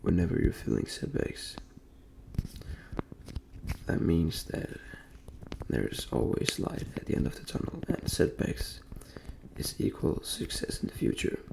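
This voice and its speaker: A young man from Denmark speaking soft, breathy ASMR in a gentle near-whisper, repeating phrases as he talks in a personal, motivational way. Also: deep voice, wildly swooping pitch, unhurried, background noise. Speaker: ASMR creator and host.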